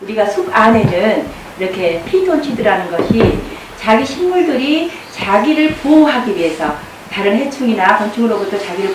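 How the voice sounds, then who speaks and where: A woman giving an explanatory talk to a group, speaking as a forest guide would. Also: a little high. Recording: very echoey, distant mic, faint noise.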